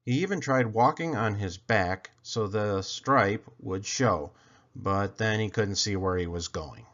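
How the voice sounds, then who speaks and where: A man's voice: on the low side, lively intonation, measured pace, a bit bright, dull audio. A man reading a children's story aloud expressively, leaning dramatically on key words. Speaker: storybook reader.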